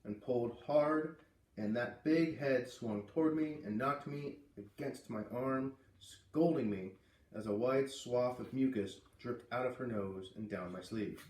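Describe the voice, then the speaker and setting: A man reading a children's novel aloud as an expressive storyteller. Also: mid-range voice, a little slow. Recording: roomy echo, distant from mic.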